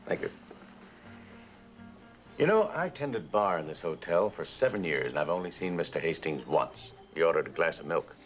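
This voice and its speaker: An actor playing a genial, chatty hotel bartender with a folksy manner in a vintage suspense drama. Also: male voice, sits low, lively intonation, moderate pace, soft background music. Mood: chatty, amused. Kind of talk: mid-20th-century suspense drama acting.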